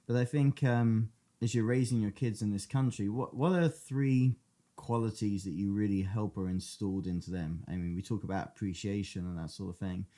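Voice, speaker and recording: Mellow English host with a low voice. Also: male voice, normal speed. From England. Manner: friendly, asks long multi-part questions. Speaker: podcast host. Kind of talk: casual interview talk.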